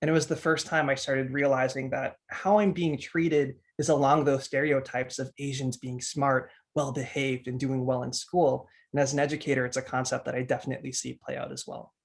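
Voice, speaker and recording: A man's voice: medium pitch, little pitch movement, brisk, creaky, few pauses. A man in his thirties from the United States, a teacher co-hosting a webinar. He presents in an earnest way with frequent restarts and fillers. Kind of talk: presenting a discussion talk.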